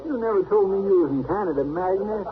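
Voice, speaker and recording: An actor playing a folksy, anxious frontier sidekick with a rural American drawl in an old-time western radio drama. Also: male voice, high-pitched, expressive, quick pace, a crowd in the background. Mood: flustered. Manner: folksy, flustered, pleading. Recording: muffled, noisy vintage radio recording.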